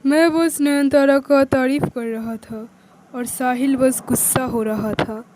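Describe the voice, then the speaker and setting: A woman's voice: high-pitched, steady tone, slow pace, a little mellow, a little hiss or hum, rough recording. A woman giving scripted first-person narration of a cartoon story in the role of a young man.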